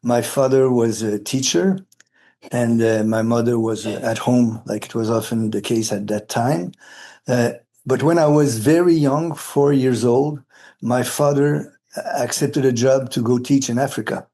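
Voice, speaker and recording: Male, late 60s, Quebec French accent, native French speaker. Mellow, relaxed tone, mid pitch. Halting, earnest interview delivery. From Quebec, Canada, brought up partly in Cameroon and Senegal. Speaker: interviewee, retired philosophy of education academic. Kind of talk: reflective interview talk.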